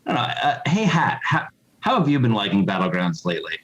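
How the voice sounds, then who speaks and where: A man chatting casually, answering in halting, evasive fragments. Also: lowish voice, huge pitch swings, fairly bright tone, lo-fi sound. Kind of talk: casual chat.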